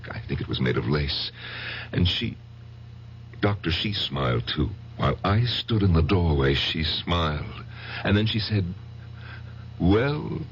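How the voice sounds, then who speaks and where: An actor playing an insecure, besotted husband who recounts his past in a halting, self-deprecating, dramatic old-time radio style. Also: sits low, a little hiss or hum. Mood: anguished. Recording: dull lo-fi old radio broadcast, music behind.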